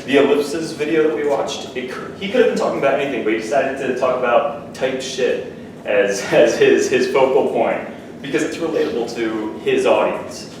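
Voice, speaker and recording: A man's voice: medium pitch, normal speed. A man presenting a workshop, talking casually to the class and checking in with the listeners. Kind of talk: casual teaching talk to a class. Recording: echoey room, distant mic, background noise.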